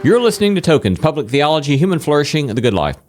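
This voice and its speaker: A man hosting and interviewing for a podcast, presenting in a measured, deliberate announcer's way. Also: fairly high pitch, big pitch swings, over loud music. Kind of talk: hosting and presenting a public theology show.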